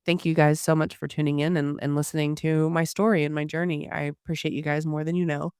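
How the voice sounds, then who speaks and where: A hoarse woman giving a candid personal health update to her listeners, with frequent pauses and restarts. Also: low voice, level tone, a little mellow, no echo, studio quality. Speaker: podcast host.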